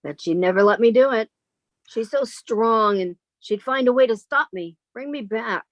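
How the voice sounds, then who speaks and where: A woman's voice: on the high side, expressive, moderate pace, no room sound, natural voice. A woman playing a sheltered, timid, wistful woman of thirty-five in an old-time radio drama, plaintive and questioning. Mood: doubtful.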